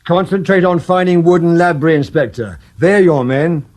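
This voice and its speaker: An actor playing a brisk, authoritative male police superintendent in a radio drama. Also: a little high, darkish tone, close to an everyday voice.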